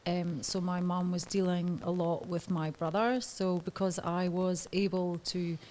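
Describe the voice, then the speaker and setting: A woman's voice: fairly low pitch, steady tone, a little slow, darkish tone, a little hiss or hum. A woman in her thirties, a podcast guest talking candidly and hesitantly, with frequent ums and laughs. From Scotland. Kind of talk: podcast interview.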